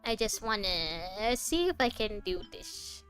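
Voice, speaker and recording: A woman's voice: medium pitch, sing-song, slow pace, fairly bright tone. A woman casually commentating on a game stream, chatty and reacting aloud as she plays. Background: game music.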